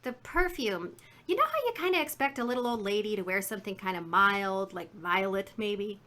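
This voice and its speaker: Lively, chatty American woman witness. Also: mid-range voice, normal speed. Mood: earnest, pondering. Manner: rambling, folksy, emphatic. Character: chatty, earnest female shop witness, fussily recalling details. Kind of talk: 1950s radio drama acting.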